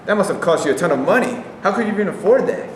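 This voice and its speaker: An actor plays an earnest, pedantic would-be gallant in a staged reading. His somewhat high voice sounds distant in an echoey room. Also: lively intonation, racing. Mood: earnest, protective. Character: would-be chivalrous, literal-minded man, protective but ineffectual. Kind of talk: staged reading of comic dialogue. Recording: echoey room, distant mic, background noise.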